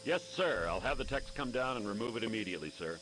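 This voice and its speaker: A man's voice: lowish voice, lively intonation, quick pace, a bit bright, a little hiss or hum, rough recording. A man playing a dutiful, officious army lieutenant in a video game, formal and by-the-book. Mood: obedient. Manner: by-the-book, formal military address. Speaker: military lieutenant. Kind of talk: video game cutscene acting.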